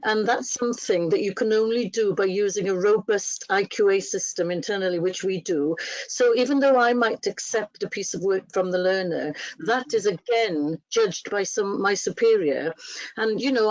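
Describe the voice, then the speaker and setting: A woman's voice: mid-range voice, darkish tone, no room sound, lo-fi sound. A woman whose first language is Welsh, a training officer answering on a webinar panel, chatty with many fillers and restarts. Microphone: video call.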